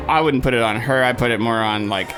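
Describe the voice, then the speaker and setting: A man's voice: mid-range voice, quick pace. Male podcast co-host, medium register, conversational with wandering asides, noticeable music bed. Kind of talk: casual chat. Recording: music behind the talk.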